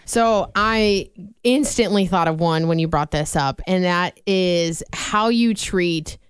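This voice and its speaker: A woman's voice: on the low side, slow, clear, resonant tone, no echo, clean studio sound. A young woman co-hosting a radio show, chatting casually and gushing with easy laughs. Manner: bubbly, gushing, laughs easily. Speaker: radio co-host. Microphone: studio mic.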